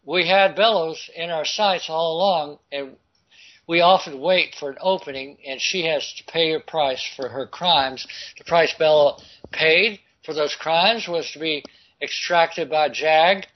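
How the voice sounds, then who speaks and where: A man reading news articles aloud and commenting on them, halting and stumbling over words as he goes. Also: fairly high pitch, muffled recording. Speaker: political commentary host.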